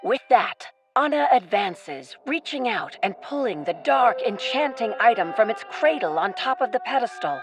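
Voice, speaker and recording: A woman's voice: on the high side, sing-song, moderate pace, hardly any pauses. A woman narrating a scripted radio adventure play in a dramatic, descriptive storytelling manner. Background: music.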